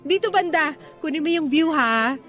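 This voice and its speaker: Radio drama sweetheart: young, Filipino accent, high voice, proper yet playful, chatty delivery. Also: female voice, lively intonation, moderate pace, a bit bright, soft background music. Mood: friendly, playful. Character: young single woman, proper, playful, reunited former sweetheart.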